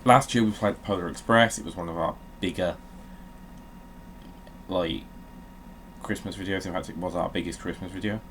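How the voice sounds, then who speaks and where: A man's voice: sits low, normal speed, pauses often, fairly bright tone. A man hosting a casual gaming let's-play, grumbling drily and mocking himself. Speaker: video game show host. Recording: clear background noise.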